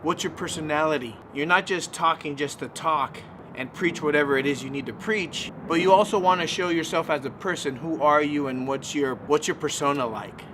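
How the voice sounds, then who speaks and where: A man's voice: on the high side, normal speed, background noise. A man vlogging in a casual, conversational way, giving informal advice to camera with some emphasis. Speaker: YouTube vlogger.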